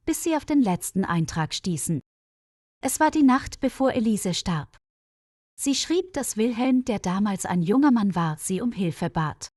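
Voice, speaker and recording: A woman reading a scripted mystery story for language learners in a measured, careful storyteller's delivery. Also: medium pitch, a little mellow, no echo, studio quality.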